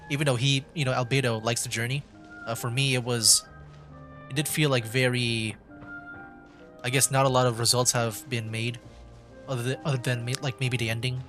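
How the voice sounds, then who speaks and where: A male game streamer with a medium-pitched voice, giving casual commentary. He muses aloud informally and hesitantly, with faint game music underneath. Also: fairly bright tone. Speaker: livestreamer playing a video game.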